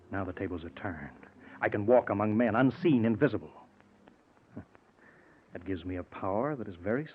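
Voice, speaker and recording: An actor playing a cold, vengeful scientist with an offhand, domineering manner in 1940s radio drama style. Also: male voice, fairly low pitch, narrow, tinny audio, lightly acted. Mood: menacing. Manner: smooth, offhand, dismissive, domineering. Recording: noisy, band-limited 1940s radio recording.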